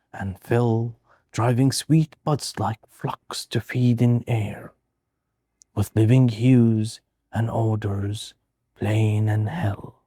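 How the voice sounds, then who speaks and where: Male poetry reader, medium pitch, solemn and measured delivery with deliberate pauses, warm relaxed tone, dry recording. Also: dry recording. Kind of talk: slow dramatic poetry recitation.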